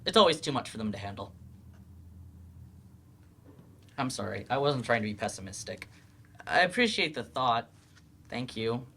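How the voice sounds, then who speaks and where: Guarded male adult student, acted by a man: earnest, halting, self-doubting, lively swings in pitch, stage-play delivery. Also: a little hiss or hum. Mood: wary, apologetic.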